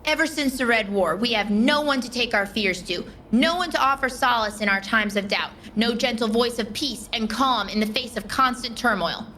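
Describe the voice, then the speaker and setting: A woman playing a defiant, impassioned soldier in an audio drama, speaking with forceful, emphatic indignation. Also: a little high, moderate pace, few pauses, a little hiss or hum. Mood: anguished, angry. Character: defiant, impassioned female soldier guardian standing her ground.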